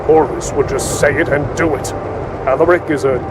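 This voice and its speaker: Man playing a highish-voiced castle conspirator. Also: fairly even intonation, normal speed, heavy background noise, close to an everyday voice. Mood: reassuring. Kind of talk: dark fantasy audio drama acting. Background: loud music under the voice.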